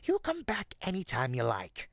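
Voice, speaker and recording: A performer voicing a sly, smooth-talking goblin potion peddler in an audio roleplay, with folksy, teasing salesman patter. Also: mid-range voice, wildly swooping pitch, moderate pace, no room sound, clean studio sound. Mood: confiding, curious. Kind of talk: audio roleplay, in-character monologue to listener.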